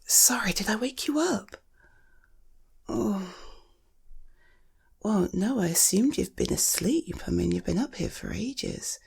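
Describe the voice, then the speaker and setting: A British woman playing an affectionate, gently teasing partner in an intimate ASMR bedtime roleplay, soft, breathy and murmuring. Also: medium pitch, heavily acted. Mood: concerned, gentle. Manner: soothing, unhurried, murmuring.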